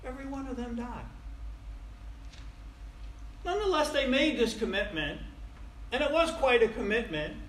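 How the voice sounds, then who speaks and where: A man's voice: very high-pitched, expressive, moderate pace, pauses often, fairly bright tone. A man in his sixties preaching a sermon in a folksy, conversational way, asking rhetorical questions and repeating phrases for emphasis. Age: 60s. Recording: echoey room, faint background noise.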